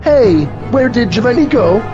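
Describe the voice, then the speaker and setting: A performer voicing an earnest, excitable young male puppet in a comic puppet show, with a dramatic manner. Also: medium pitch, moderate pace, highs cut off, music in the background, close to an everyday voice. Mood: earnest, exasperated.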